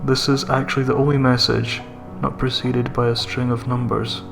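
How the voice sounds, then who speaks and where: A man narrates a scripted horror tale in a mid-pitched voice with a noticeable creak. Music plays clearly behind the narration. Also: level tone, normal speed. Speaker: creepypasta narrator.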